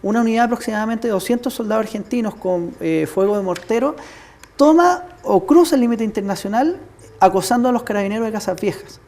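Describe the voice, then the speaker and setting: A mellow-voiced man with a Chilean accent speaks as an interview guest. He lays out a historical account in an explanatory way, stressing his points with measured emphasis. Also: high-pitched. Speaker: studio guest, military history commentator.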